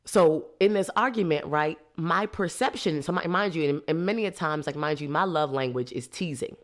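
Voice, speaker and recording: A woman, hosting a podcast and explaining ideas in a lively, laughing, casual way. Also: low voice, moderate pace. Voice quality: nasal. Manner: lively, laughs a lot, teasing. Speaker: podcast host. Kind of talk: casual teaching talk.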